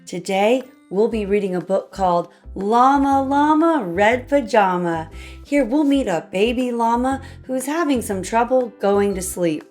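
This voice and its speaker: A woman's voice: a little high, lively intonation, unhurried, darkish tone, soft background music. A woman hosting a read-aloud, telling a picture-book story expressively for young children. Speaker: children's storybook reader and channel host.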